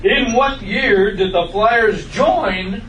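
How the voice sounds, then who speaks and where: A man's voice: high voice, slow pace, a bit bright. A man playing a peppy, smug radio contest DJ with announcer-like delivery at a sitcom table read. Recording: roomy, distant, faintly noisy live recording.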